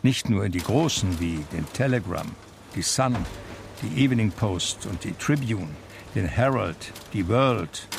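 An actor playing a blind, reflective man who narrates his life in a radio drama, deliberate and expressive in his telling. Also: on the low side, creaky, noisy background. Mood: measured, matter-of-fact.

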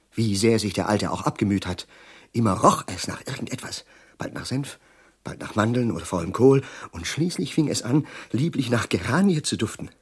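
An actor playing a bitter, sardonic middle-aged professor prophesying doom in a German radio drama, with a husky, declamatory delivery. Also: male voice, mid-range voice, heavily acted. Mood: ominous, intense. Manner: declamatory, sardonic, lingering on words with sudden hushes.

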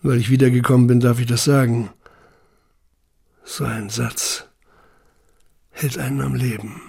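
A male survivor reflecting solemnly on what he remembers. His mid-pitched voice is husky and full of creak. He speaks as if reciting literary prose in an audio drama. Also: level tone, unhurried, a little mellow.

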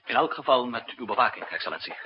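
An actor playing a bold, urgent male agent, brisk and formal, in a 1960s radio drama. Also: medium pitch, expressive, lightly acted. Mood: earnest, urgent. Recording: dull noisy 1960s radio recording.

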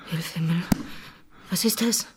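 A woman's voice: fairly low pitch, measured pace, noisy background, natural voice. An actress playing a horrified, grieving woman at a deathbed in a radio play, anguished in manner. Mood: fearful. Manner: anguished, from whispers to screams.

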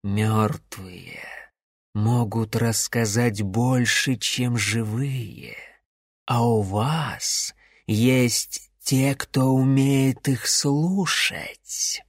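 A man voicing a haughty, menacing inhuman warrior creature in an audiobook, with a soft, lulling, singsong and condescending delivery. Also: medium pitch, creaky, husky, no echo, clean studio sound, exaggerated voice. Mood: cold, condescending. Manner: slow, hypnotic, condescending.

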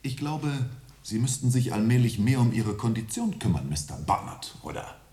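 A man playing an impatient young gentleman in a radio drama, curt and sarcastic, in an echoing room. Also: mid-range voice, very animated, normal speed, close to an everyday voice. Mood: sarcastic, irritated. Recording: roomy, echoing studio scene.

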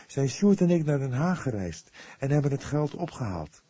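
A man voicing an earnest, devout young woman in an audiobook, speaking patiently and with solemn emphasis, quick to defend herself. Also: mid-range voice, sing-song, dark tone, husky, no echo, pitched above the performer's own voice.

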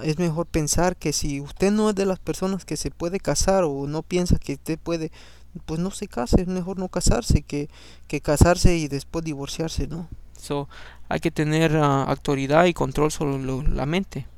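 A man's voice: a little high, unhurried, darkish tone, smooth, no room sound. A man whose first language is Spanish, hosting a Bible reading podcast. He reads scripture and adds personal commentary in a conversational way, often ending with a questioning "no?". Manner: conversational, keeps adding a questioning "no?"; frequent fillers and restarts.

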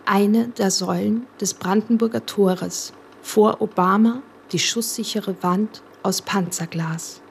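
Creaky-voiced woman, sober narrator. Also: mid-range voice, darkish tone, a little hiss or hum. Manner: sober, matter-of-fact. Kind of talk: scripted narration of image captions.

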